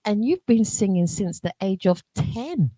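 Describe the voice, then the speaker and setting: A woman hosting a radio interview, chatty and given to repeating herself and quick asides. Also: medium pitch, animated, warm tone, dry recording, clean studio sound. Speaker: radio host.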